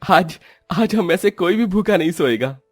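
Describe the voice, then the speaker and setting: A man's voice: sits high, expressive, normal speed, pitched above the performer's own voice. A man voicing a tender, struggling young father and husband, pleading and reassuring, in a cartoon. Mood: excited, reassuring. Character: struggling, self-sacrificing poor husband and father, tender, earnest.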